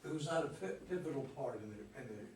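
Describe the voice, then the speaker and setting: A man's voice: mid-range voice, lively intonation, quick pace, darkish tone. A man chatting casually in a group discussion after a play reading. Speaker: play reader giving feedback. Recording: very echoey room, distant mic, faint noise.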